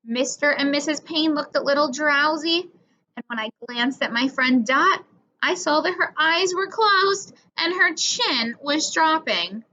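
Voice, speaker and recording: A woman reading a children's story aloud in an expressive, deliberate manner, acting out the dialogue. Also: high-pitched. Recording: dull lo-fi recording.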